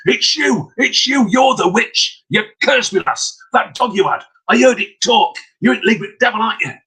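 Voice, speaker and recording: A man voicing a panicked, cursed peddler, shouting in desperation. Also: high-pitched, lively intonation, normal speed, slightly rough, pitched above the performer's own voice, exaggerated voice, poor audio. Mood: accusing, shouting. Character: cursed middle-aged male peddler, frantic.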